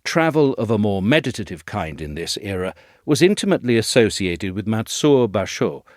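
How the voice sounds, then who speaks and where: A man reading a scripted audio essay in a measured, articulate storytelling manner. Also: fairly low pitch, sing-song, no echo, clean studio sound. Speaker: essay narrator, reader.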